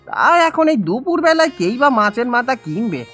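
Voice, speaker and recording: A man voices a poor village husband in a cartoon, with very lively swings of pitch and a bright, sharp tone. He is weary and wheedling, quick to get riled, and music plays behind him. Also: full character voice. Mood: defensive. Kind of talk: Bengali cartoon voice acting.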